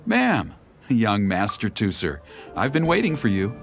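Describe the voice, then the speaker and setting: A man's voice: fairly low pitch, huge pitch swings, unhurried, gravelly, soft background music, heavily acted. A man playing a dutiful servant in a video game, formal, courteous and deferential. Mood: polite, formal. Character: courteous, dutiful male servant sent as escort.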